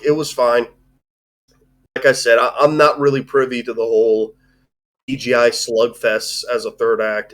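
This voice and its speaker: Guy just chatting about a movie, kinda rambly, loose and informal, swears a bit. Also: mid-range voice. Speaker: host of a live-streamed movie discussion. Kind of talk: casual chat, film review.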